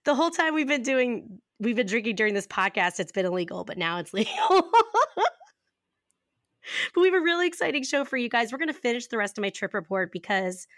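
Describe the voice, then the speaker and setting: A woman in her thirties co-hosting a podcast, raspy and a little hoarse from a cough, chatting casually and laughing often as she rambles through stories. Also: fairly high pitch, animated, a little fast, a bit bright, dry recording, clean studio sound. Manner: giggly, rambling storyteller who laughs often. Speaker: podcast co-host. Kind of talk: casual chat.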